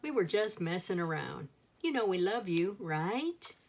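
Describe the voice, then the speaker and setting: A woman's voice: lowish voice, very animated, unhurried, full character voice. A woman voicing confident, teasing young twin sisters from a picture book, breezy and persuasive. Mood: sheepish.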